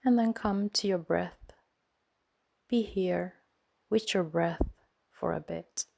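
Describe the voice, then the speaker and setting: A woman leading a guided sleep meditation in a soothing, gentle manner, speaking in short phrases with unhurried pauses. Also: lowish voice, gravelly, a little hiss or hum.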